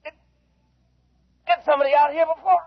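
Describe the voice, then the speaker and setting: A man acting as a gruff field survey captain in a 1950s radio drama, heard through a played-back radio transmission. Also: very high-pitched, steady tone, fairly quick, dry recording. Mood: panicked, desperate. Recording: thin, phone-like radio transmission, 1950s recording.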